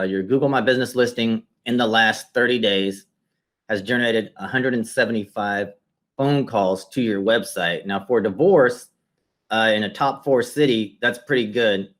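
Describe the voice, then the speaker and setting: A man with a slightly deep voice hosts a client interview. He talks conversationally as he presents a shared screen, explaining each item step by step in the manner of a consultant. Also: normal speed. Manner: explanatory, walks listener through results step by step.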